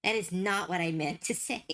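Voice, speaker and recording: A young woman chatting on a desk mic while she draws on stream. She talks a little low for a woman and rambles from one idea to the next with bursts of giggles. Her voice sounds worn and slightly hoarse from talking too long. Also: moderate pace. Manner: rambling, giggly, thinks out loud. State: voice getting hoarse and tired. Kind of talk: casual chat while drawing.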